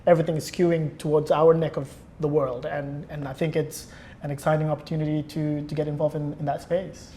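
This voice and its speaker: A man answers as a guest in a conversational interview. His voice sits a little high for a man, and he explains things in an articulate way. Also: level tone, brisk, dark tone, a little hiss or hum.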